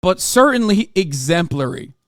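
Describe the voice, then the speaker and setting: A man's voice: sits high, big pitch swings, normal speed, a bit bright. Profane rambling man streamer. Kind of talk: casual commentary while gaming.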